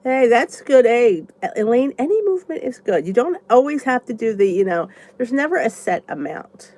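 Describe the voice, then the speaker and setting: A woman's voice: on the high side, big pitch swings, normal speed, mellow. A congested woman vlogger with a stuffy nose, rambling chattily as she answers viewer comments in a casual live chat. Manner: chatty and rambling, thinks aloud.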